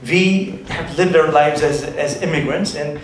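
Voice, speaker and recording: A man moderating a panel discussion at a community event. He talks haltingly, with many restarts and fillers. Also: fairly high pitch. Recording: very echoey hall with some noise.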